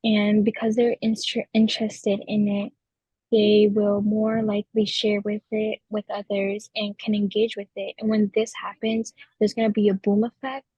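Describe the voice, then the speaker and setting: A woman's voice: medium pitch, completely flat delivery, moderate pace, warm tone, slightly rough, no room sound, low-quality recording. An eighteen-year-old woman explaining her views earnestly in a student podcast discussion. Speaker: student panelist.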